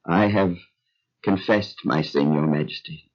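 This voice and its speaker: An actor playing a humble, contrite and devout Hebrew patriarch in a Bible audio drama, earnest, measured and deferential. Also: male voice, sits low. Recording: dull lo-fi radio drama audio.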